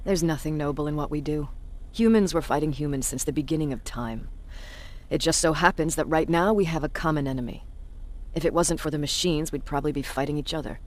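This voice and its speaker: An actress playing a cynical, blunt resistance commander in a video game, with a measured, sardonic delivery. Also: female voice, deep voice, darkish tone, natural voice. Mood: cynical, probing. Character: hard, cynical female resistance military commander, self-declared bully.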